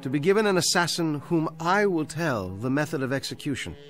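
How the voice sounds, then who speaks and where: A condemned man of letters in a radio play. He is defiant but calmly dignified, with a measured, reciting delivery at a middling pitch. Faint music sits behind him. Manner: theatrical, measured, literary recitation. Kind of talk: radio drama acting.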